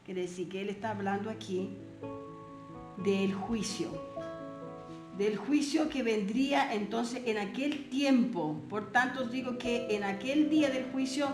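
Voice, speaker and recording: A woman's voice: on the high side, unhurried, echoey room, music in the background. A woman whose first language is Portuguese, teaching a Bible seminar lesson, reading verses aloud and asking rhetorical questions.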